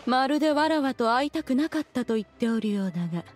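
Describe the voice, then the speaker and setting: Haughty ancient immortal lady, fairly high voice, archaic imperious phrasing, anime game, music behind. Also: expressive, quick pace, a little mellow. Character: proud, haughty ancient immortal woman, elder demanding respect.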